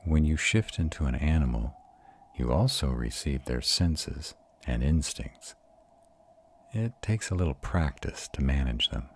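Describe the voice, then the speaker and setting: A man as a very deep, slow vampire lover. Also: expressive, slight background noise, exaggerated voice. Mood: gentle, reassuring. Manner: slow, soothing, reassuring. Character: arrogant male vampire, tender and protective mentor to his mate. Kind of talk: intimate roleplay audio drama.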